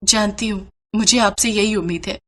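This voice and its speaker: A woman's voice: medium pitch, fairly even intonation, moderate pace, natural voice. A woman as a meek young daughter-in-law. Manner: meek, polite, plaintive.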